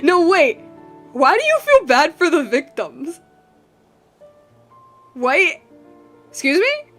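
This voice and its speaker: A woman giving casual game commentary, thinking aloud in animated, broken bursts. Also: extremely high pitch, takes it slowly, faint music behind. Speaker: game streamer.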